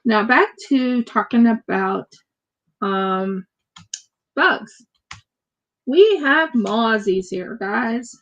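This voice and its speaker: Slow, creaky American woman. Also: fairly high pitch, animated, a bit bright, lo-fi sound. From United States. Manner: rambling, repeats words. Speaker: craft streamer. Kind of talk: casual chat while crafting on a livestream.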